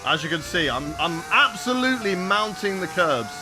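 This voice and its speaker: A man's voice: high-pitched, very animated, normal speed, background noise. A young man casually chatting with viewers while he plays a racing game on a live stream.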